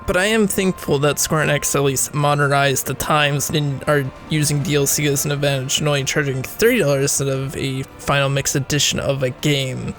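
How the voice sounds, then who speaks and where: A young man with a cold, rambling through casual gaming commentary and laughing often at himself. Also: a little high. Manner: rambling, laughs often, self-deprecating asides. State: sick, voice rough. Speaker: gaming video creator.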